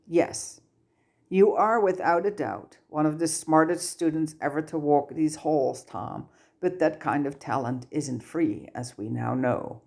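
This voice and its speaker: A woman's voice: low voice, a little slow, vocal fry, heavily acted. A woman voicing a kindly but firm man, a wizard teacher and mentor, in an audiobook story. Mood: gentle, sympathetic. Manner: gentle, patient, firm.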